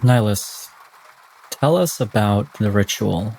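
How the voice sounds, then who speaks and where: A man narrating a tabletop roleplaying game as game master, measured and pausing between short phrases. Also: fairly low pitch, lively intonation, vocal fry, very noisy.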